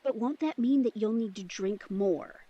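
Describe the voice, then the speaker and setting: Girl playing a new vampire in an audio drama, breathy, earnest, kinda insecure but teasing. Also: medium pitch, moderate pace, warm tone, highs cut off, lightly acted. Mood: uneasy, confused. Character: young newly turned vampire woman, earnest, teasing, insecure. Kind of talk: intimate immersive audio drama acting.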